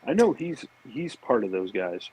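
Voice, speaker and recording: A man's voice: medium pitch, very animated, moderate pace, warm tone, husky, dry recording, low-quality recording. An American man chatting casually as a podcast guest, laid-back and informal. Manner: laid-back, informal, repeats agreement words. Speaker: podcast guest, fishing industry marketer. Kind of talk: casual chat.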